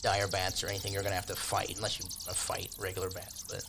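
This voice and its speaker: A low-pitched man narrates a tabletop fantasy game as game master. He talks informally and makes up descriptions as he goes, with faint noise and watery ambience behind him. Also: monotone, fast talker, creaky. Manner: informal, loosely improvised descriptions.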